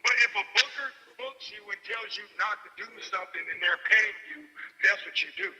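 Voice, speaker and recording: A man's voice: sits high, a little fast, sharp tone, long reverb tail, low-quality recording. A man calling in as a comedy booker, speaking firmly and insistently as he lays down his rules. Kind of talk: phone-in argument.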